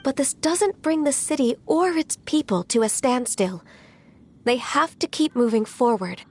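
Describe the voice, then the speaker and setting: A woman voicing a gentle, earnest young woman in a video game, speaking in a polite, sincere way. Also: high-pitched, lively intonation, unhurried, slight background noise. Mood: earnest, consoling.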